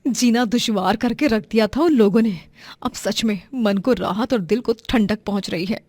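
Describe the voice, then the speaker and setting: A woman's voice: a little high, moderate pace, a little mellow, dry recording, natural voice. Woman acting a cruel, scheming village wife, dry close sound. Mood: gloating.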